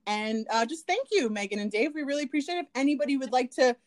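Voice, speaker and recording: Chatty, upbeat woman podcaster with a higher voice. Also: quick pace, a little mellow. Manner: chatty, lots of ums and likes, giggly. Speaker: podcast co-host. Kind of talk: casual chat.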